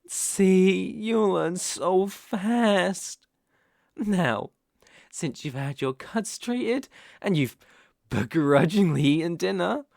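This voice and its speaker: A British man playing an obsessive, menacing young boyfriend in an intimate ASMR roleplay, coaxing softly with slow, deliberate emphasis. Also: fairly high pitch, vocal fry, no room sound, clean studio sound, exaggerated voice. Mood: condescending, coaxing. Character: obsessive, possessive, menacing young boyfriend holding partner captive.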